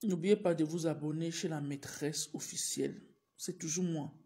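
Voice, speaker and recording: A woman's voice: low-pitched, steady tone, normal speed, mellow. A woman teacher with a cold, speaking casually in a live stream and urging her viewers again and again. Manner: repetitive, urging viewers over and over. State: has a cold, clears throat and coughs. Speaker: teacher livestreaming to followers.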